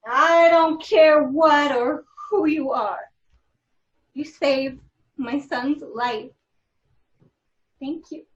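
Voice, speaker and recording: A woman's voice: high-pitched, fairly even intonation, extremely slow, pauses often. A woman reading the part of a grateful, anxious mother in a drama, earnest and hesitant, over muffled, echoey call audio. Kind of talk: dramatic table read.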